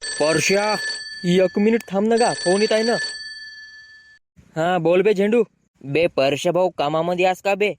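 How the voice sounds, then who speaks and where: A man's voice: high-pitched, measured pace, long pauses, slight background noise. A man voicing a brash, grumbling young village man with a rural Marathi accent, bickering in slang in a comic cartoon. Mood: grumbling banter.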